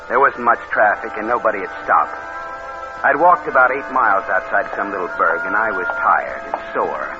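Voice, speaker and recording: A man's voice: on the low side, normal speed, close to an everyday voice. A dull vintage radio recording with music behind it. A man plays a scheming young drifter, wry and slangy, telling his story like a hard-boiled crook in an old suspense drama. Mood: sly, wry. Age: 20s. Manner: wisecracking, slangy. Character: scheming cynical young drifter narrating his own crime. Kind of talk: 1940s radio acting, hard-boiled narration.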